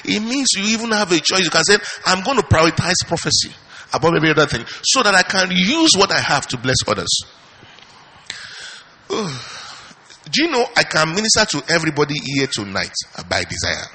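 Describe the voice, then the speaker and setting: A male pastor preaching with a lively voice that swings high and low. His tone is bright and a little husky, and he keeps firing rhetorical questions at the congregation and breaking in with hallelujahs. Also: normal speed. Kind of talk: charismatic sermon.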